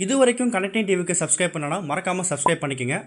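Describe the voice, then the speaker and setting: A man giving a quick, upbeat subscribe call-out at the end of a news video. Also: sits high, expressive. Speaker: channel outro voice.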